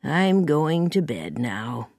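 A woman voicing a quiet, shy, gentle farmer in a storybook, speaking slowly and hesitantly. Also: low-pitched, very animated, dry recording, clean studio sound, heavily acted. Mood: resigned.

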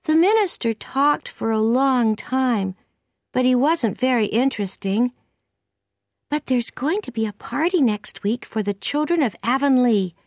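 Young girl character, acted by a woman, high voice, eager, earnest, pleading, storybook, lively swoops. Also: measured pace, no room sound, studio quality.

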